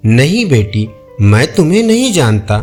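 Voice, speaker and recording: A soft-tempered, devout village husband in a moral tale, acted out by a man. He speaks slowly and earnestly with a lively melody. Background music runs underneath. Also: medium pitch. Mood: tender. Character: gentle, devout, generous young village husband.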